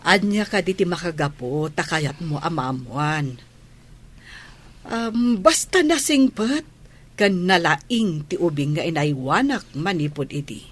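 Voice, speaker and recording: An actress voicing a kindly older former nanny in a radio comedy drama, with an Ilocano Filipino accent. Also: female voice, fairly low pitch, lively intonation, a little slow. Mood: fond, puzzled.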